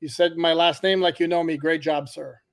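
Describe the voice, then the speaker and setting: A man whose first language is French, co-hosting a casual sports podcast with a joking, animated manner. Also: on the high side, moderate pace, vocal fry. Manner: joking, animated, laughs at his own jokes. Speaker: podcast co-host.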